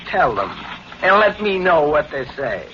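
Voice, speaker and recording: A man's voice: on the high side, big pitch swings, a little slow. An actor playing a smug villain mocking his victim in a 1940s radio crime drama. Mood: taunting. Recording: dull, noisy old radio recording.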